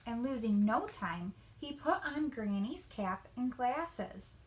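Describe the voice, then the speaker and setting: Slow, expressive woman storyteller. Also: fairly high pitch. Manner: expressive, deliberate. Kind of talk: children's story read aloud.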